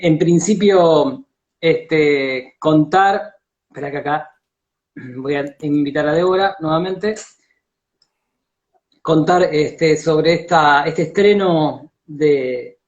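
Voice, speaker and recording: An Argentine man hosting a casual live-stream interview, speaking haltingly and circling back over his phrases. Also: fairly high pitch. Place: live video call on social media. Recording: dull, lo-fi internet call audio.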